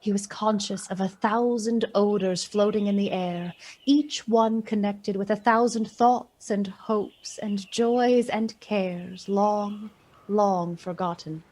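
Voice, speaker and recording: A woman playing the storyteller of a Victorian ghost tale, with measured, literary, expressive delivery in a radio play. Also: medium pitch. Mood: measured, wondering. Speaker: narrator.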